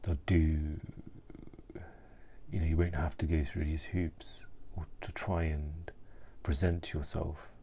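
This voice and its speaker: A man speaking in a hesitant, halting way, searching for words and subdued with distress, in a personal reflective vlog monologue. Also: very deep voice, little pitch movement, dark tone, a little hiss or hum. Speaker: mental health vlogger.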